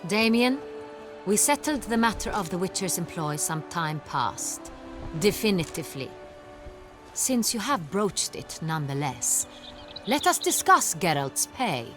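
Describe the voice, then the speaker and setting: An actress playing a haughty, formal young duchess in a video game, quick to indignation. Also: female voice, fairly low pitch, big pitch swings, music in the background. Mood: firm, then intrigued. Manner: formal, speaking with the royal we. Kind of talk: video game character acting.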